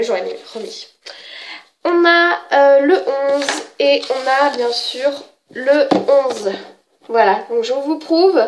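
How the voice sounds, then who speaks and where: A woman's voice: high-pitched, background noise. A woman with a cold chatting casually as she shows off her manga books, hesitant and full of fillers and self-corrections. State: has a cold. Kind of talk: casual show-and-tell.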